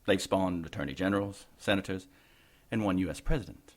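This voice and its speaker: A man playing an older, formal and deferential corrupt bishop in an audio drama, with measured diction. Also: deep voice, natural voice. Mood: grave, measured.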